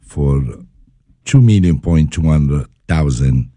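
A man from Italy with an Italian accent, a studio guest speaking passionately in halting English with many fillers. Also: extremely low pitch, animated, warm tone, dry recording. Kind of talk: interview answers.

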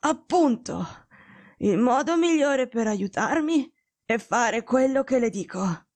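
A woman reading an audiobook voices a gravely ill man who gives weak, rasping, slow but commanding orders. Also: a little high, lively intonation, darkish tone, no room sound, pitched above the performer's own voice, heavily acted. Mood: weak imperious. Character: gravely ill, imperious man detective, feverish.

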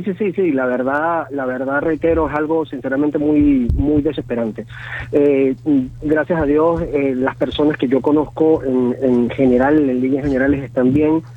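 A man in his thirties with a Venezuelan accent, a guest on the phone, talking haltingly with many fillers and restarts. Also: medium pitch. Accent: Venezuelan Spanish. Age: 30s. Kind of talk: phone interview. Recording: tinny phone line.

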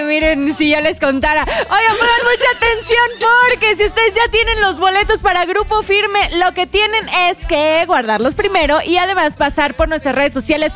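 A Mexican woman on the radio, with a very high voice and an easy, chatty tone as she talks straight to her audience. She speaks from a studio with music playing clearly behind her. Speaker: radio host. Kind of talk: casual radio chat.

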